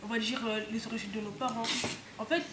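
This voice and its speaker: A woman's voice: a little high, steady tone, quick pace. A teenage girl in a casual classroom debate, unsure and restarting her phrases, in an echoey room with background noise. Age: teens. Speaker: student in a group discussion.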